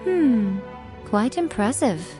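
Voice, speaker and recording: A woman's voice: a little high, sing-song, takes it slowly. A woman voicing an elegant, teasing fox shrine maiden in a video game, poised and playful. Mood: amused. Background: soft game music.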